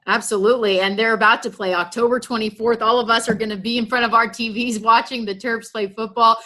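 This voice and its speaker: A woman's voice: fairly high pitch, fairly even intonation, fast, pushed and bright, low-quality recording. A woman news anchor interviewing a guest in a conversational broadcast style.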